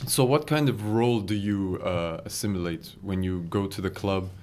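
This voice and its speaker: A man's voice: low voice, moderate pace, a little mellow. Upbeat young male podcast host. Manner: upbeat, joking with guests. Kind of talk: casual podcast chat. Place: small podcast recording room. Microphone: studio microphone.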